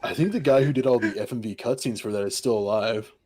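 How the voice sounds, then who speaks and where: A man's voice: on the low side, quick pace, darkish tone, slightly rough. A young man in his twenties co-hosting a live stream, joking casually, laughing often and trailing off mid-sentence. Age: 20s. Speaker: stream co-host. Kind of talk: casual chat.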